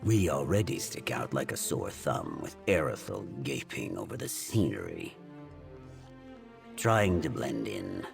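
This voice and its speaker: A man voicing a sardonic, world-weary veteran thief in a video game, with a deadpan, grumbling delivery. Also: rumbling low, measured pace, creaky, faint music behind, full character voice. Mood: dry, sardonic. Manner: deadpan, sarcastic. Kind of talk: scripted video game dialogue.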